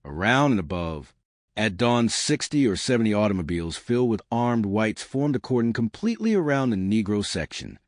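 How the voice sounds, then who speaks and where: A man's voice: fairly low pitch, big pitch swings, a little fast, no room sound, studio quality. A man narrating scripted newspaper reports with a formal news-reading delivery.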